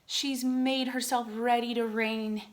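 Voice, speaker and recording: A woman reading a picture book aloud to young children in an expressive way, adding chatty explanations and questions for her listeners. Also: a little high, slow. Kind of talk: animated read-aloud with chatty asides and questions.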